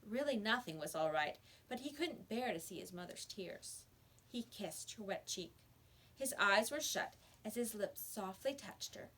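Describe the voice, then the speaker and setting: Woman, children's read-aloud narration, expressive, animated pitch, bright forceful tone. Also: rough recording.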